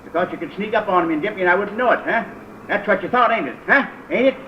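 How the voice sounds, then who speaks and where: A distraught, self-pitying gunman with a high, agitated voice, repeating himself in rough folksy grammar. It is old radio crime drama, muffled with faint noise behind. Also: lively intonation, fast talker. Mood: paranoid, threatening. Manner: agitated, repetitive, ungrammatical folksy speech. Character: distraught paranoid gunman, cornered, self-pitying, uneducated drifter.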